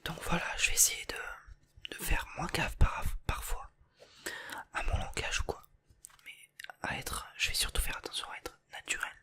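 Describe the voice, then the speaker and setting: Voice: normal speed, long pauses, sharp tone. A person with a light southern French accent, whispering an informal, unscripted ASMR chat straight to the viewers. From southern France. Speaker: ASMR video creator.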